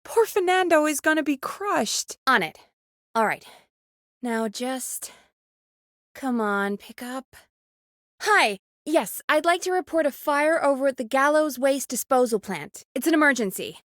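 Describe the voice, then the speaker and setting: A woman playing an earnest, wry radio show producer in a video game, speaking in an expressive, conversational way that is supportive but easily exasperated. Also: high-pitched, measured pace, no room sound, clean studio sound. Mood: distressed, urgent. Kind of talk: naturalistic video game dialogue.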